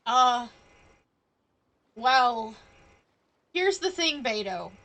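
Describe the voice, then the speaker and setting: A woman's voice: on the high side, big pitch swings, drawn-out words, sharp tone. A woman streaming a game live, casually answering the characters in a playful, emphatic way. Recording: game music behind.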